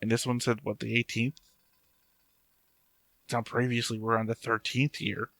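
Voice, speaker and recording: A man's voice: mid-range voice, flat delivery, moderate pace, creaky, fairly bright tone, no room sound, faint music behind. A man giving casual off-the-cuff commentary as a reaction host, with a dry, analytical way of talking.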